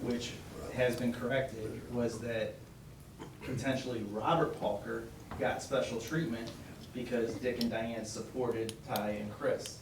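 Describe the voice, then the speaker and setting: A man's voice: mid-range voice, flat delivery, measured pace. Man, plain middle voice, matter-of-fact, distant in a roomy hall with noise behind. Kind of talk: meeting discussion. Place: township meeting hall. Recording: echoey room, distant mic, background noise.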